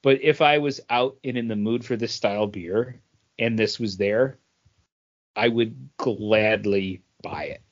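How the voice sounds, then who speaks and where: A man's voice: lowish voice, a little slow. A man co-hosting a podcast, giving opinionated but qualified takes in a casual, conversational way. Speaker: podcast co-host. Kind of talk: casual beer review and chat. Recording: dull, band-limited audio.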